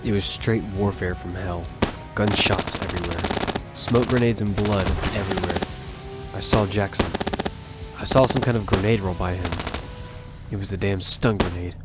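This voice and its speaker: A man's voice: low voice, fairly even intonation, moderate pace, natural voice. A man narrating as an earnest young squad leader. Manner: urgent, earnest storytelling. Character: young male squad leader narrating his own story. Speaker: narrator and lead character. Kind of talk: first-person audio fiction narration. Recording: music bed underneath.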